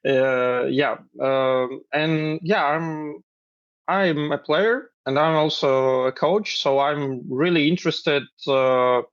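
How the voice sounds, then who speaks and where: A man's voice: mid-range voice, dry recording, highs cut off. A man in his twenties from Belarus, a chess grandmaster and coach, answering interview questions with many fillers. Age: 20s. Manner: hesitant, many fillers, laughs lightly.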